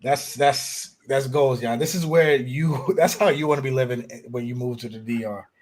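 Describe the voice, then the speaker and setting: A man's voice: medium pitch, moderate pace, a little mellow. A man hosting a live stream chat over a video call, laid-back and agreeable. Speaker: host.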